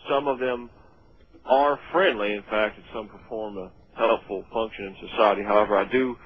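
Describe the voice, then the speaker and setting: A man calling a phone-in, giving a mock-serious comment in a hesitant, deadpan way. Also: fairly low pitch, moderate pace, slightly rough. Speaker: phone-in caller. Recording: muffled telephone line.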